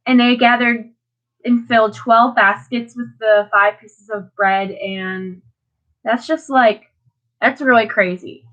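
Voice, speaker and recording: A woman's voice: a little high, steady tone, slow, lo-fi sound. Stuffy-nosed teenage girl rambling. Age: teens. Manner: rambling, many fillers and restarts. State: stuffed up, sniffling. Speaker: vlogger. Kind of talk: casual devotional talk.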